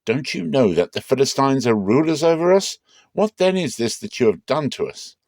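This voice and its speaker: A man's voice: mid-range voice, animated, moderate pace, a little mellow, dry recording, studio quality, voice pushed up. Man voicing an urgent spokesman for tribesmen. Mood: reproachful. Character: anxious, urgent delegation of men of Judah.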